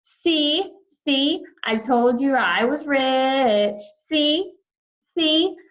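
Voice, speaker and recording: Casting: spoiled little rich girl acted by a woman, wheedling, boastful, slow insistent delivery, dull-sounding storybook recording. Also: high voice, expressive, a bit bright, pitched above the performer's own voice, full character voice. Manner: whiny, insistent, often whispering. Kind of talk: children's book read-aloud.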